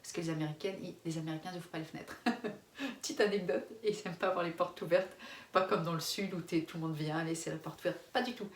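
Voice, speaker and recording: A woman from France, in her forties, talks to her camera in a loose, candid way, circling back and restarting her sentences and often breaking into laughter. Her voice sits a little low. It is recorded in a reverberant room from some distance away, with a faint ambient hiss behind it. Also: steady tone, quick pace. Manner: frank, rambling, many restarts, laughs often. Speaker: expat family vlogger. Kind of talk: casual face-to-camera chat.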